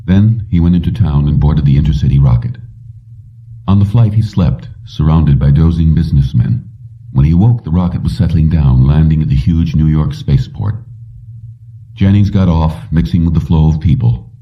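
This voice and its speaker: A man with a very low voice narrates a story from a script. The sound is dull and muffled, as if he sits well away from the microphone. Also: normal speed, distant mic. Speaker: audiobook reader.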